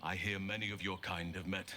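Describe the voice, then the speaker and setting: An actor playing a grave, guarded companion in a video game, speaking in a measured, solemn way. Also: male voice, sits low, level tone, pushed and bright. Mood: grave warning. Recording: noticeable background noise.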